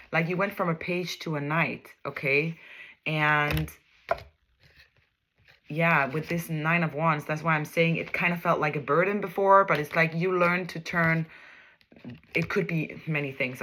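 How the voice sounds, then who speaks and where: A woman with a Danish accent gives a loose, chatty tarot reading, her voice bright and forward. She wanders through her thoughts, hunts for the right words and keeps checking in with her listeners with a quick "okay?". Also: deep voice, steady tone, a little hiss or hum. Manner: rambling, searches for words, keeps asking "okay?". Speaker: tarot reader. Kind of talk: casual spoken tarot reading.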